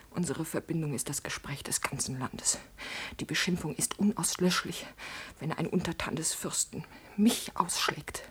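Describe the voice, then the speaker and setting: Noble lady, low husky voice, breathy, formal, intense, wounded pride, classical radio drama. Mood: hushed, wounded. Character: proud, wounded noble lady, desperately determined in love. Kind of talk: classical German stage tragedy acting, radio play.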